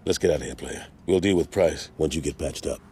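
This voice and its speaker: An actor playing a tough, aggressive street gang fighter in a video game, blunt and slangy, over loud vehicle noise. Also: male voice, extremely low pitch, brisk. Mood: tough, urgent. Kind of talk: video game cutscene acting.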